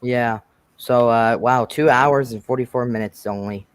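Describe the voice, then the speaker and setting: A man's voice: medium pitch, steady tone, unhurried, clear, resonant tone, no room sound. A man hosting a casual reaction chat, joking and teasing his guest, often restarting his sentences. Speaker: host of the reaction video.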